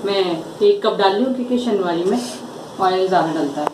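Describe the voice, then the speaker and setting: A woman's voice: medium pitch, a little fast, background noise. Woman cook explaining steps in echoey kitchen. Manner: explains steps patiently, promises tips. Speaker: home cook, co-host of cooking channel. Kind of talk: casual cooking demonstration. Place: home kitchen, indoors.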